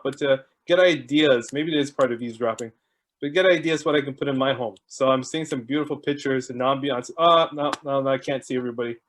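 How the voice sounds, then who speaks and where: A man hosting an online video call, chatting casually and greeting people one by one while he jokes about stalling. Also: mid-range voice. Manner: chatty, joking, warm greetings to each arrival. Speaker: host.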